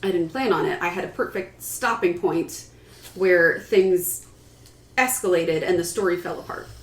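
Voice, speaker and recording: A woman's voice: lowish voice, moderate pace. A woman writer on a panel, telling a personal story in a casual, conversational way. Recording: roomy echo with some background noise.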